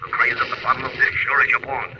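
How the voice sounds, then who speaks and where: An actor playing an urgent, streetwise newspaper reporter with clipped 1940s radio delivery. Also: male voice, medium pitch, level tone, fast, natural voice. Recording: distant, very noisy, muffled reverberant radio.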